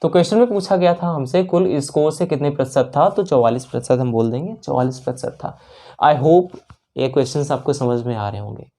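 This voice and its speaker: A man's voice: medium pitch, fairly quick, mellow. A man teaching an exam-prep maths lesson as a voice-over, working each sum aloud step by step and often checking in with his listeners. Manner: works sums aloud step by step, mixing English terms and numbers into the talk. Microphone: voice-over of a screen lesson.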